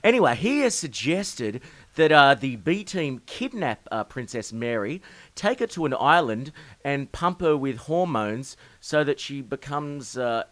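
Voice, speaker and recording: A man's voice: medium pitch, very animated, unhurried, a bit bright. A man chatting casually on live radio as one of a serial's writer-performers. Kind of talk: casual on-air chat. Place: radio studio, live broadcast.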